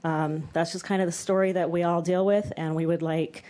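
A woman, a parent, giving an earnest public comment at a hearing, steady with a few pauses. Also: on the low side. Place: state hearing room.

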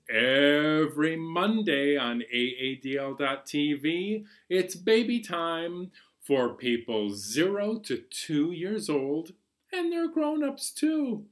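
A man in his forties presenting a storytime for young children in an animated, playful manner, recorded at home in a small room. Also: fairly high pitch, slow. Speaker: children's library storytime host.

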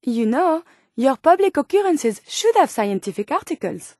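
A woman's voice: sits high, very animated, moderate pace, a little mellow, no room sound, studio quality. An actress playing an earnest, curious female medical robot turned human in a video game, with a French accent and precise, formal diction. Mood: curious, delighted. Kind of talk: video game character dialogue.

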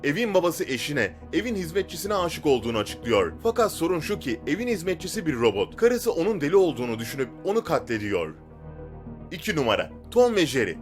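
Male narrator, scripted countdown read, highly animated pitch, music underneath. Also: fast.

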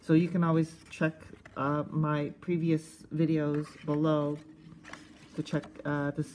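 A woman giving casual, unscripted hands-on review commentary in a halting, conversational way, thinking aloud. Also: deep voice, flat delivery, a little mellow. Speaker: host of a cassette collecting video channel. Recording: faint background noise, casual tablet recording.

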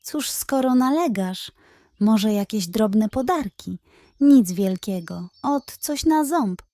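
A woman's voice: fairly high pitch, lively intonation, takes it slowly, mellow, no echo. A woman voicing a lazy, sly male pug who poses as a king in a children's story, talking pompously. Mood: smug. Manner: pompous, self-important.

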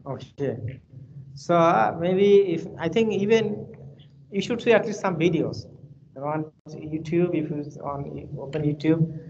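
A man's voice: on the high side, slow, creaky, muffled recording, background noise. A male university lecturer from Bangladesh, speaking English with a Bangladeshi accent as his second language. He gives an online class lecture and rambles and repeats himself in a friendly storytelling way. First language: Bengali. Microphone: computer mic on a video call.

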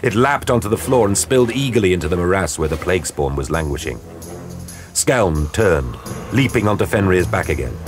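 A man with a deep, low voice narrates an audio drama. His delivery is grave and measured, built for drama, with music clearly playing behind him. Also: lively intonation.

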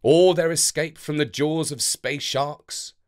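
A man's voice: on the high side, steady tone, takes it slowly. A man reading a children's story in a dramatic, playful way, as scripted narration.

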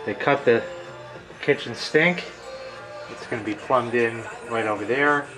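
A man's voice: mid-range voice, a little slow, music in the background, poor audio. A man giving a casual, rambling walkthrough as he films home repairs, swearing freely with a wry, crude manner. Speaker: homeowner filming his plumbing repair.

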